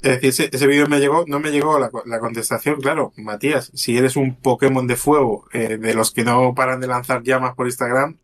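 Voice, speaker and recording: A man from Galicia co-hosting a podcast, speaking in a measured way as he introduces sections and steers the talk. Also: mid-range voice, steady tone, a bit bright. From Galicia, Spain. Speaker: podcast co-host. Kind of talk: casual podcast chat.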